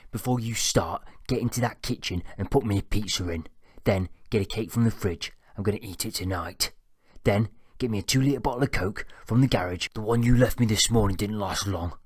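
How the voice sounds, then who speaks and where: A man voicing a crude, abusive, bedridden old father, gruff and demanding. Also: on the low side, no echo, studio quality. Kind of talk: audiobook character voice.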